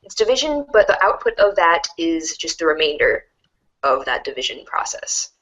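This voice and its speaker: Female explainer, nerdy and informal, slightly low voice with very lively intonation. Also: normal speed, rough recording. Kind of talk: casual explanation in group banter.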